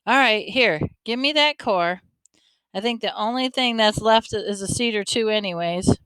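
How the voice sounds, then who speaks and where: A chatty grandfatherly man, folksy and fond of teasing, as a woman reads him aloud in a storybook. His voice is smooth and full, and it sounds close and dry with no room around it. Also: medium pitch, moderate pace, fairly bright tone, no echo, close to an everyday voice, rough recording. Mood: kindly. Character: friendly teasing older man, grandfather, army veteran.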